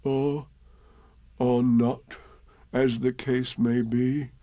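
A man voicing a simple, humble bear in a children's storybook, with a plaintive, gentle manner. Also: fairly high pitch, dry recording, voice pushed up. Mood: dreamy. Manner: plaintive, gentle, slightly slow-witted.

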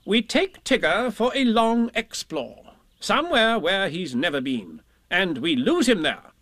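High-pitched bossy storybook rabbit. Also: male voice, very animated, measured pace, fairly bright tone, dry recording, studio quality, heavily acted. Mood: confident, persuasive. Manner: emphatic, officious, wordy explaining. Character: bossy, self-assured, scheming male rabbit. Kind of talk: children's storybook character reading.

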